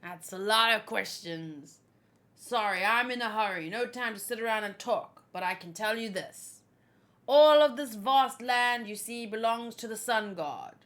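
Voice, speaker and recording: Woman reading as a brisk, ominous castle servant. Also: on the high side, fairly bright tone. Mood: brisk, informative. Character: hurried stranger, servant of a castle's ruler, warning travellers. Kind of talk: acted manga read-along.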